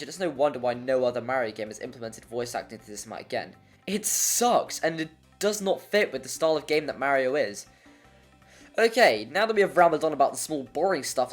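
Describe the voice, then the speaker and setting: Video essay narrator, mid pitch, informal and jokey, casual asides, background music. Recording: music under the voice.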